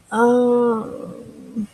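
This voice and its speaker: Woman with a husky, gravelly voice. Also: on the high side, darkish tone. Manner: rambling, conversational, answers chat comments. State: dry throat, voice tiring. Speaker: live show host. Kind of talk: casual live-stream chat.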